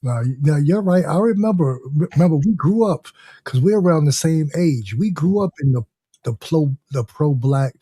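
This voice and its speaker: A man's voice: a little high, lively intonation, normal speed, mellow, husky, no room sound. A man talking casually and conversationally as a co-speaker in a discussion, reminiscing informally.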